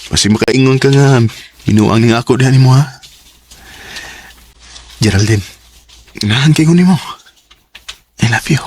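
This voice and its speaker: A man's voice: medium pitch, normal speed, long pauses, a little mellow, slight background noise, lightly acted. An actor playing an earnest young man courting his sweetheart, speaking tenderly and pleadingly in a radio drama. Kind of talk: Cebuano radio drama acting. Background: background music.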